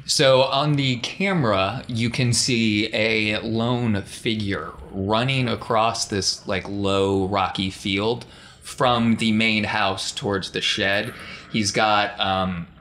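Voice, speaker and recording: A man's voice: lowish voice, fairly even intonation, takes it slowly, fairly bright tone, slight background noise. A man narrating a tabletop role-playing game as game master, describing scenes in a conversational, improvised way.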